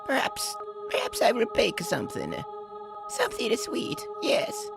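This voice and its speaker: A woman's voice: on the high side, huge pitch swings, unhurried, vocal fry, music in the background, heavily acted. A woman voicing a very old, fussy woman who mutters to herself in an audio drama. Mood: muttering, wondering. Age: older.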